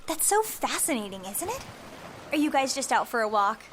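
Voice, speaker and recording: A woman playing bubbly high-voiced Ellie. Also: big pitch swings, moderate pace, a little hiss or hum. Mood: cheerful. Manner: bright, gushing, upbeat. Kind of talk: audio drama acting.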